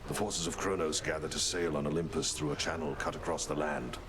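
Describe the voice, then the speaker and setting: A grave strategist from a video game, with an extremely low voice. He speaks formally and with measured understatement. A clear layer of noise sits behind the words. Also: steady tone. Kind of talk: scripted video game dialogue.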